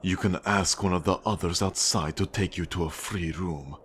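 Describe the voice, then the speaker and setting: An actor playing a cold, menacing Russian mafia boss in an ASMR roleplay, with a Russian accent and a deliberate, condescending manner. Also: male voice, deep voice, fairly even intonation, a little hiss or hum, close to an everyday voice. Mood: curt, dismissive. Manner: slow, deliberate, controlling, condescending. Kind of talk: ASMR roleplay monologue addressed to the listener.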